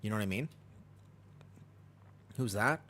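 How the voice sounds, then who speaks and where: A man's voice: on the low side, lively intonation, a little slow, a bit bright, slight background noise. An American man streaming a game, chatting casually and rambling as he answers chat and laughs easily. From United States.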